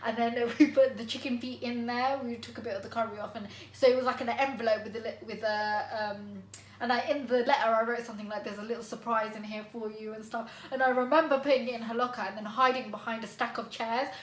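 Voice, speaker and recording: A high, bright-voiced young woman telling a story to her siblings, animated and laughing often. Her voice rings in an echoey room. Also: fast talker, slight background noise. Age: 20s. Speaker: vlogger. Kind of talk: casual sibling chat.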